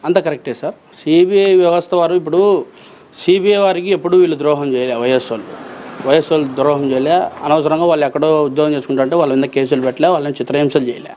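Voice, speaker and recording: Man, Rayalaseema Telugu accent, higher-than-average voice, rapid colloquial interview answers, forceful repetition and rhetorical questioning. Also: steady tone, a little hiss or hum. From Rayalaseema, Andhra Pradesh, India. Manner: emphatic, insistent, repeats phrases and asks rhetorical questions. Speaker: interview guest, approver witness in a criminal case.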